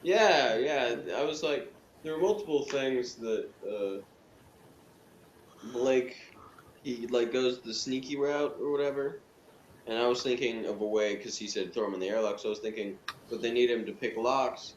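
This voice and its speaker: A man's voice: mid-range voice, moderate pace, lo-fi sound. Man chatting casually in an echoey room. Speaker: review panelist. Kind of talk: casual group chat reviewing a TV show. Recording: roomy echo, background noise.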